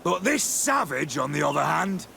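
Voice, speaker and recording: A man acting an officious, suspicious camp gate guard with a gravelly voice in a video game. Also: fairly high pitch, big pitch swings, normal speed, pushed and bright, a little hiss or hum, heavily acted. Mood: grudging, deferential. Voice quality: gravelly, creaky.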